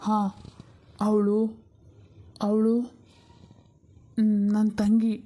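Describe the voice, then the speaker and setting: A woman voicing an earnest, protective young man in a cartoon story, speaking firmly and deliberately as he explains himself. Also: medium pitch, monotone, mellow. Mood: hesitant confession. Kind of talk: amateur cartoon story dialogue.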